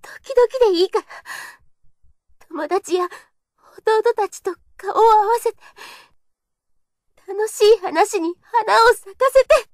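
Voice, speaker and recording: A woman's voice: very high voice, takes it slowly, pauses often, no echo, clean studio sound, full character voice. A young woman playing a shy, earnest kendo girl in an audio drama monologue, with a hesitant, confessional delivery. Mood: emotional, longing.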